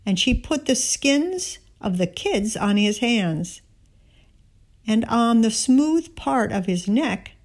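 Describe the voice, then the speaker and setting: A woman reads a passage of scripture aloud as narration with dialogue. Her voice sits at a medium pitch. She takes it very slowly, with deliberate, measured phrasing. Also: a little mellow. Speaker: scripture reader.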